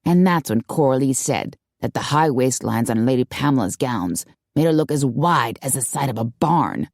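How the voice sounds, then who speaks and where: A woman's voice: extremely low pitch, lively intonation, moderate pace, a little mellow, no room sound, voice pushed down, full character voice. A woman voicing a sardonic, dramatic young female gossip with a dry drawl in an audiobook story. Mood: gleeful gossip.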